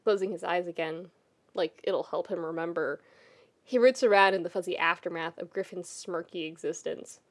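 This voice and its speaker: A woman reading a scripted story as a podfic narrator, with expressive, intimate storytelling. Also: lowish voice, normal speed.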